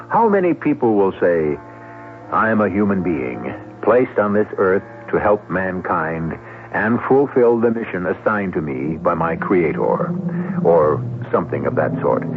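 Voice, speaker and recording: An old radio broadcast with a tinny tone and faint music. A man narrates in a low, expressive voice. He is measured and theatrical, like a philosophical storyteller. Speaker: radio drama host and narrator. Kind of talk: 1970s scripted radio narration.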